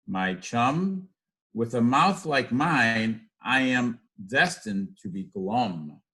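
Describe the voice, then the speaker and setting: A man's voice: medium pitch, bright, forceful tone, full character voice. Man reading as a mopey, sing-song storybook fish. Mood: resigned, glum. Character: gloomy, pouting male fish, resigned and mopey. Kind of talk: read-aloud picture book.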